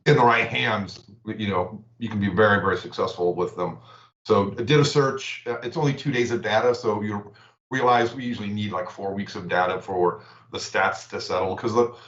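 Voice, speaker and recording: A man chatting on a livestream, halting and repeating words as he reads out game stats. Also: on the low side, fairly bright tone. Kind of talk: casual livestream chat. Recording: echoey room, dull lo-fi audio.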